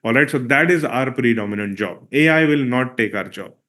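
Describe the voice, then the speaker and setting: A man, a Marathi speaker, teaches a live online session with a medium-pitched voice. He talks loosely and conversationally, leaning on "uh" and asking "all right?" to keep his audience with him. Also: expressive, brisk, fairly bright tone. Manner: conversational, frequent "all right?" checks and "uh" fillers. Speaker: filmmaker and workshop presenter. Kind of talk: live online workshop talk.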